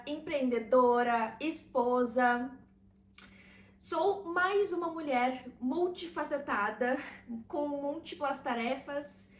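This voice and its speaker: High-voiced woman in an echoey room. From southern Brazil. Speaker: vlogger and routine mentor. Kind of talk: casual talking-to-camera vlog.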